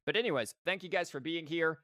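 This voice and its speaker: A man's voice: on the high side, quick pace, fairly bright tone, no room sound, studio quality. Rambling young man streaming a game. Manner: rambling, lots of fillers and restarts. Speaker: livestream host. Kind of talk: casual gaming stream wrap-up.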